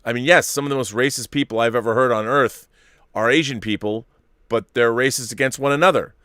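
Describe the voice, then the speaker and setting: A man's voice: mid-range voice, fairly quick, fairly bright tone, clear, resonant tone, no room sound, clean studio sound. A middle-aged man hosting a live stream, reading viewer messages and rambling through opinions with many restarts. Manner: rambling, self-interrupting, opinionated. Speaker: host reading viewer super chats. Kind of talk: casual live-stream commentary.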